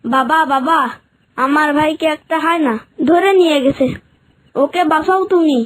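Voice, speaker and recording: A woman's voice: sits high, moderate pace, lightly acted. A woman voicing an eager young dinosaur boy in a children's cartoon. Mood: frightened.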